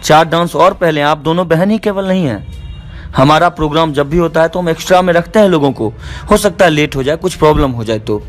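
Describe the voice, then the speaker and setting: A man's voice: a little high, a little fast. A man with a Bihari accent in an argumentative phone conversation, insistent and repeating himself. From Bihar, India. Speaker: stage show artist and organiser. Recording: recorded phone call with faint music.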